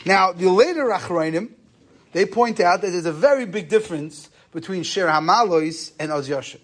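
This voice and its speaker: A man's voice: sits high, expressive, normal speed. A man gives an informal lecture on religious texts. He reasons aloud in questions and answers, dropping Hebrew terms into his sentences as he goes. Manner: question-and-answer explaining, Yeshivish phrasing with Hebrew terms mixed in. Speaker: rabbi giving a lecture.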